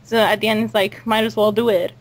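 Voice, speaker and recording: A woman's voice: medium pitch, flat delivery, fast talker. A woman hosting a casual group interview with fellow voice actors, reading out questions and chatting. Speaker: interview host and voice cast member.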